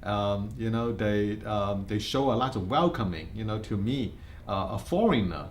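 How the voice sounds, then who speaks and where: A man's voice: lowish voice, lively intonation, moderate pace, a little hiss or hum. A middle-aged man with a Hong Kong Cantonese accent, telling his life story in an interview, earnest and full of fillers and restarts. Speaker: insurance agency head.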